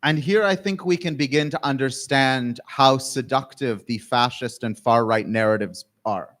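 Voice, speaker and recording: A man from Canada giving an academic keynote lecture, speaking in measured phrases and pausing often. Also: mid-range voice, fairly bright tone.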